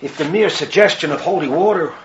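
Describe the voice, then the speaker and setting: An actor playing an earnest, troubled priest with a probing, insistent manner in a radio horror drama. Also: male voice, fairly high pitch, brisk, dull audio, natural voice. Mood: thoughtful, probing. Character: earnest, troubled priest and psychiatrist investigating possession. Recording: noisy radio-play recording.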